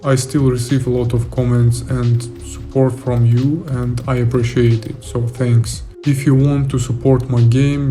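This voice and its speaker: Young man, flat mellow narration over music. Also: mid-range voice. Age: young adult. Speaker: indie game developer narrating a devlog. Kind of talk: scripted narration.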